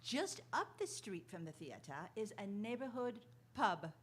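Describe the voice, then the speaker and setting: A woman's voice: mid-range voice, very animated, a little slow, slightly rough. A woman playing a helpful, chatty London housekeeper in a radio drama, polite and deferential. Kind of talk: theatrical radio drama acting.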